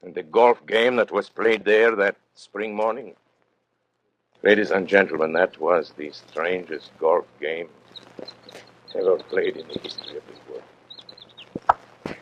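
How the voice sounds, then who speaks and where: Deep, suave, menacing radio villain. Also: male voice, fairly even intonation, lo-fi sound. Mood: sardonic. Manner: theatrical, urbane, deliberate, mock-polite. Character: suave, menacing male criminal agent, condemned, mocking powerful men. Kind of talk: old-time radio drama acting and narration.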